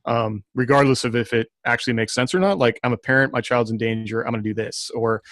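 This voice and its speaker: A man's voice: medium pitch, fast, a bit bright, dry recording, clean studio sound. A man on a podcast panel chatting casually, thinking aloud in a tentative way. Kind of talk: casual group podcast chat.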